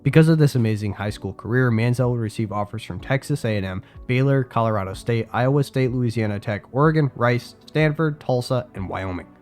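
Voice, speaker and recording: A man's voice: mid-range voice, brisk, hardly any pauses, a little mellow. A young man with a congested voice narrating a scripted sports video, with casual asides to his viewers. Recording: faint music behind voice.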